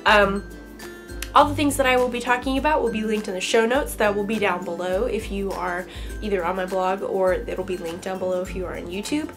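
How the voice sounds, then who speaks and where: A woman's voice: medium pitch, fairly even intonation, brisk, soft background music. A young woman host with a stuffy, allergy-congested voice, chatting casually and upbeat about her crafting week. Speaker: podcast host. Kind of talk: casual crafting chat to camera.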